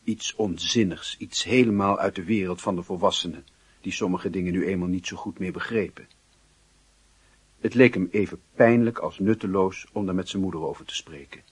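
A man's voice: low-pitched, normal speed, breathy edge, no room sound. A man reading scripted literary narration for a radio drama.

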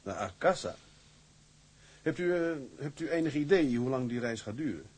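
A boastful young ship's mate. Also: male voice, medium pitch, moderate pace, breathy edge. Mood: brisk. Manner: animated, swings from hushed muttering to loud bluster. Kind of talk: radio play acting.

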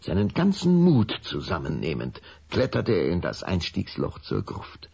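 A man narrating a German children's radio play as a measured, suspenseful storyteller. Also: low voice, huge pitch swings, creaky, mellow, slightly rough, exaggerated voice.

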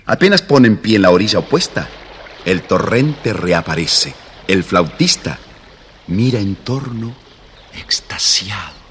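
A man's voice: low voice, sing-song, measured pace, fairly bright tone, long reverb tail, a little hiss or hum. A man narrating a children's fairy tale, phrasing it carefully and dramatically. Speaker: storybook narrator.

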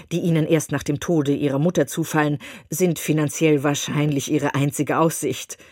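A woman's voice: low-pitched, darkish tone, slightly rough, no echo, clean studio sound. A woman voicing a pompous, long-winded male clergyman who condescends as he proposes, in an audiobook. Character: pompous self-satisfied male clergyman suitor. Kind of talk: audiobook reading of a classic novel.

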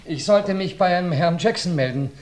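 A man's voice: high-pitched, fairly quick, noisy background, lightly acted. Guy playing an anxious earnest witness, hesitant but urgent, old radio play feel. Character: earnest, anxious man reporting a murder.

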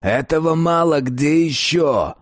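A man's voice: a little high, animated, slow, faint music behind, pitched above the performer's own voice. A man voicing a vicious male gangster in an audiobook, snarling threats and barking short, menacing orders. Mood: cold menace. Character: vicious, menacing male criminal enforcer called Satan.

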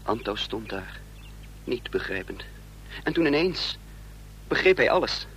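An actor playing a troubled man caught up in intrigue, narrating his own story with measured drama in a radio play. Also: mid-range voice, big pitch swings, fairly bright tone. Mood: grave. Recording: faintly noisy, humming radio play recording.

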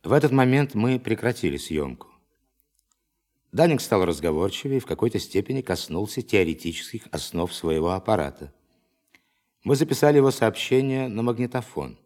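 An actor playing an authoritative official giving a formal, emphatic briefing to scientists in a radio play. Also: male voice, lowish voice, sing-song, a little mellow. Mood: measured, formal. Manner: formal, emphatic, commanding, dramatic pauses. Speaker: presenter of a demonstration.

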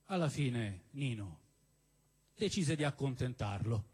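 A man giving an expressive, theatrical reading of literary prose as a storyteller, with emphatic phrasing and deliberate pauses. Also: mid-range voice, breathy edge. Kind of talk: expressive dramatic reading of a novel. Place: live stage at a celebration event in a cinema venue.

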